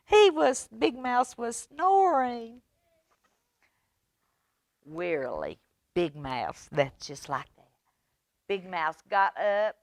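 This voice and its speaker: A woman reading aloud at a children's storytime, expressive and using simple phrasing for small children. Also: mid-range voice, extremely slow, long pauses, dry recording, studio quality.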